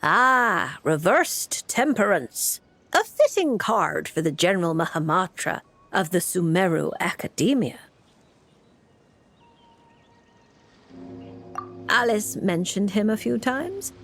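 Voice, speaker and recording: A woman's voice: medium pitch, sing-song, a little slow, a bit bright, no room sound, full character voice. A woman acting a cool, composed and blunt fortune-telling mage with a dry manner in a video game. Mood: musing. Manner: composed, matter-of-fact, dry.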